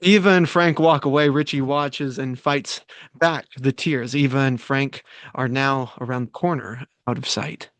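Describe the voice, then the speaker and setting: Male writer reading stage directions, plain and brisk, in a dry room. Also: mid-range voice, fairly even intonation, a bit bright, no echo. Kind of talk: reading a screenplay aloud.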